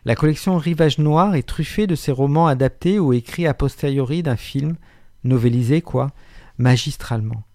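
A man's voice: mid-range voice, normal speed, darkish tone, dry recording, clean studio sound. A man presenting a radio book column in a wry, articulate way, with frequent hesitations. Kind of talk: radio literary chronicle, partly read.

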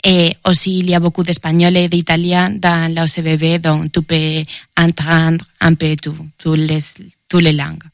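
A young woman with a Spanish accent, an intern answering interview questions in hesitant, halting French. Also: low voice, completely flat delivery, no echo. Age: young adult.

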